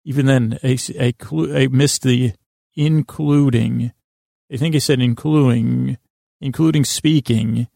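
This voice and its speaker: A man hosting a sleep podcast in a meandering monologue, stumbling over words, circling back and gently goofy and self-deprecating. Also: medium pitch, steady tone, a little slow, gravelly, no room sound, clean studio sound. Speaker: podcast host.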